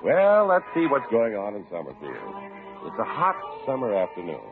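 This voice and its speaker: A man's voice: on the low side, wildly swooping pitch, normal speed, loud music behind the voice. An American man announcing a mid-century radio show and reading its scripted advertisement. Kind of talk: 1940s-50s radio announcing, scripted ad read. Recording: vintage radio, narrow-band, noisy.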